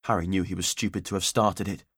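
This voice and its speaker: A man's voice: low voice, little pitch movement, fast, a bit bright, slightly rough, no echo, clean studio sound. A man reading a children's story as an audiobook narrator, in scripted narration.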